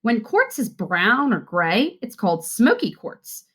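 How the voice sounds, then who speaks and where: A woman's voice: a little high, huge pitch swings, unhurried, a bit bright, breathy edge, exaggerated voice. A woman voicing a patient, kindly father who explains things in a children's story. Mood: instructive. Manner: patient, instructive.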